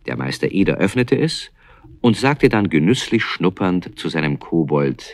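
A man's voice: deep voice, very animated, normal speed, no echo. A man narrating a children's radio play in a scripted storybook style. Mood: calm storytelling.

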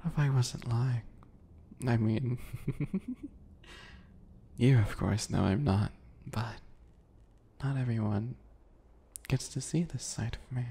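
A man voicing a sweet-spoken, obsessive male bunny predator in an ASMR roleplay, soft, breathy and teasing, often whispering. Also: medium pitch, exaggerated voice. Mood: teasing menace. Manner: slow, teasing, giggly, menacing.

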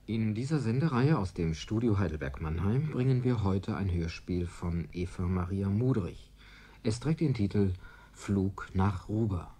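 A man's voice: on the low side, expressive, unhurried, mellow, breathy edge. A man giving a formal, scripted radio announcement as a programme announcer.

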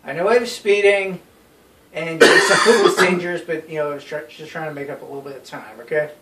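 A young man casually telling friends an anecdote in an animated way. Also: a little high, fast talker. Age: young adult. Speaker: storyteller. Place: small bedroom, indoors. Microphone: phone camera a few feet away. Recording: roomy, distant phone mic with noise.

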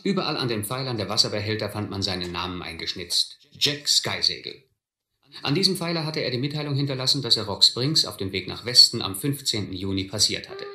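A man's voice: fairly low pitch, lively intonation, fairly quick, pushed and bright, reverberant, exaggerated voice. An actor playing a reflective narrator who recalls his vagabond youth in a German radio drama. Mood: reflective, grave. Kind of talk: scripted first-person narration in a radio drama.

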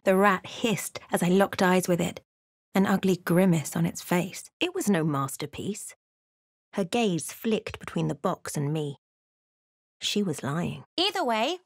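A woman playing a wry, watchful female adventurer in a fantasy video game, with measured, dramatic storytelling delivery. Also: fairly low pitch, dry recording, studio quality. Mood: wry, dry. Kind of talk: scripted fantasy game narration and dialogue.

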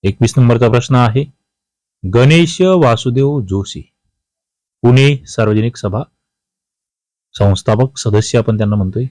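A man's voice: medium pitch, a little slow, pauses often, darkish tone, dry recording. A man teaching exam history, reading out quiz questions, prompting with questions and repeating the key answers.

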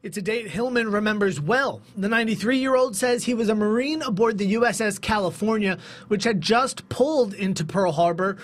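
A man's voice: sits high, moderate pace. A young man reading scripted news narration as a television anchor. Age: young adult. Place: TV news studio.

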